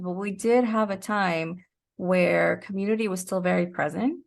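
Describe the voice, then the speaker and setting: A woman's voice: medium pitch, gravelly. A middle-aged woman in a casual interview conversation, explaining her ideas reflectively with a light, amused touch. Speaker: podcast guest, creator.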